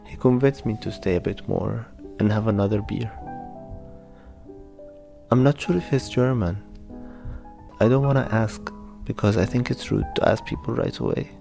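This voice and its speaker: Young man from Egypt, first-person storyteller, dark mellow tone with fry. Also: fairly low pitch, moderate pace, pauses often, faint music behind.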